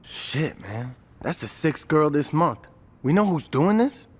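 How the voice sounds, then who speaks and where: A man's voice: on the high side, big pitch swings, a little slow, breathy edge, big-room sound, exaggerated voice. An American man playing a concerned street gang member in a video game cutscene. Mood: concerned, skeptical.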